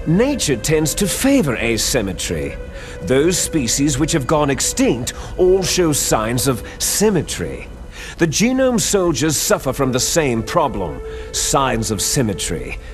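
An actor playing a bitter, fanatical villainous clone soldier in a video game, arrogant, menacing and condescending, with drawn-out theatrical phrasing. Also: male voice, mid-range voice, huge pitch swings. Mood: contemptuous lecturing. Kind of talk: video game cutscene acting. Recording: music behind the voice.

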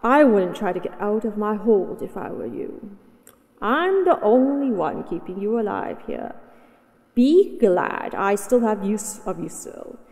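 A woman's voice: mid-range voice, lively intonation, a little slow, darkish tone, in a large hall. A woman voicing a possessive, haughty dragoness in a scripted roleplay audio, speaking with condescending, teasing command. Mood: menacing. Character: possessive, haughty, mighty female dragon captor.

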